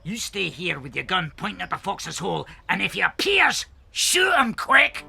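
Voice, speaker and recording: An actor in a dramatized children's audiobook playing a ruthless, bossy farmer who snaps and insults. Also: male voice, high-pitched, wildly swooping pitch, moderate pace, creaky, sharp tone, exaggerated voice. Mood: bossy, impatient. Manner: domineering, snappish, insulting. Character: ruthless, bossy male farmer obsessed with killing a fox.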